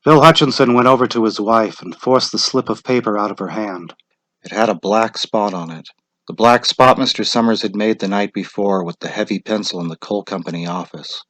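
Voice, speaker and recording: Low-voiced male story narrator. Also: a little fast, a bit bright, no echo. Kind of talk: dramatic scripted story narration.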